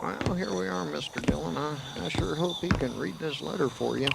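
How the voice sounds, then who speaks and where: An actor playing a naive, anxious and loyal deputy in a Western radio drama, whiny and stammering, with a rural American accent. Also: male voice, on the high side, fairly quick, gravelly, very noisy. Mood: eager, hesitant.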